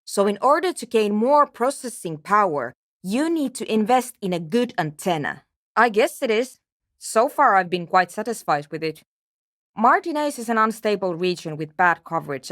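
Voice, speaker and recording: A woman's voice: mid-range voice, fairly bright tone, no echo, clean studio sound, lightly acted. A woman playing a guarded, impatient and anxious programmer in a video game, with a terse, precise and matter-of-fact way of talking. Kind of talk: scripted game character dialogue.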